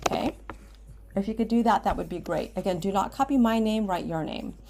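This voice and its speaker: An American woman with a medium-pitched voice talks as a writing teacher in a screen tutorial. She gives simple, step-by-step instructions and often checks in with a short okay. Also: brisk, creaky, background noise. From Washington State, United States. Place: indoors at a computer, screen recording with webcam.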